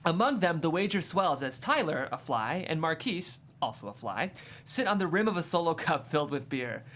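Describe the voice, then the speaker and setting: A man's voice: on the high side, very animated, normal speed, fairly bright tone, a little hiss or hum. A man hosting a table read show, chatting and narrating script directions in a studio.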